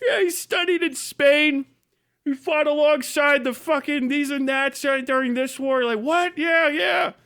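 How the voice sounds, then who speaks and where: A man with an extremely high, bright voice hosts a livestream in casual, ranting style. He swears freely and tells mocking, exaggerated stories, often laughing as he talks. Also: measured pace. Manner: profane; laughs often while talking. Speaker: livestream host. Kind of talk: casual comedic rant.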